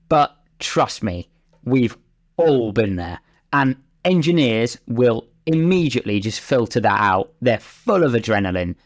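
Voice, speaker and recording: A man talking as a racing driver and pundit, giving conversational, discursive analysis in an emphatic way. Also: mid-range voice.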